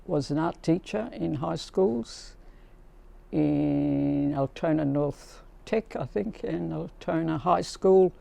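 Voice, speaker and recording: Slow, gravelly woman. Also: sits low, warm tone. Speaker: visual artist, former art teacher. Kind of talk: documentary interview.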